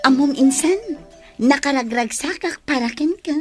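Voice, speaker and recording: Woman acting high-voiced, cheerful Ilocano cousin. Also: moderate pace, faint music behind, natural voice. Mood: happy. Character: cheerful, reassuring young female Ilocano cousin. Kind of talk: radio drama acting in Ilocano.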